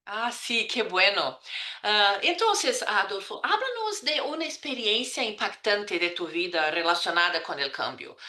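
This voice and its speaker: Bright-voiced woman hosting, echoey room. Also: mid-range voice, very animated, measured pace. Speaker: podcast host. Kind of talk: scripted intro, then interviewing.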